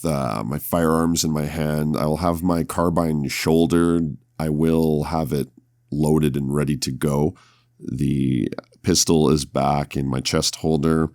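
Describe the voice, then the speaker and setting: Very deep, gravelly man's voice. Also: no room sound, studio quality. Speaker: podcast host and tabletop player. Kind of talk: scripted horror intro narration, then casual roleplay.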